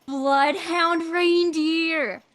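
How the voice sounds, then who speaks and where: Tiny impatient sidekick, very high pitch, slow drawn-out delivery, theatrical, audio drama. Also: female voice, fairly even intonation, heavily acted. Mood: impatient, excited.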